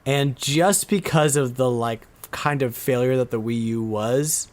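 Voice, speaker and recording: A man hosts a podcast about retro games in an easy, conversational style. He sounds enthusiastic, talking in a mid-pitched voice as if among friends. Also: measured pace. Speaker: podcast host. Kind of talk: casual retro gaming podcast chat.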